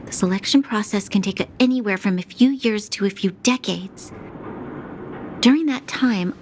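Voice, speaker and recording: A woman's voice: medium pitch, a little hiss or hum, natural voice. A woman playing an earnest, dutiful young noblewoman in a game cutscene, speaking in a proper, formal and serious manner. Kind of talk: English-dubbed game cutscene acting.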